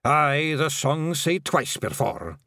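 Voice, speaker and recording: A man voicing a careful, scholarly male bard of a fairy clan, speaking in a measured way. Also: fairly high pitch, sing-song, bright, forceful tone, no room sound, studio quality, pitched above the performer's own voice, full character voice. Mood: explaining.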